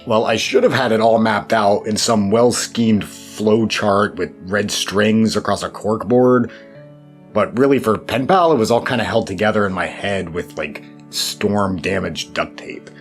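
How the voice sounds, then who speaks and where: A man's voice: on the low side, a little slow. A man giving reflective interview answers in a thoughtful, self-deprecating way with wry asides. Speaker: interview guest, horror fiction writer. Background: background music.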